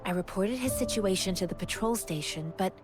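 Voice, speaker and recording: A young woman wandering martial artist from a video game, played by an actress, earnest and kind. She speaks in a mid-range voice with polite, measured sincerity, over clearly audible music. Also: mid-range voice, lightly acted. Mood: worried, sincere.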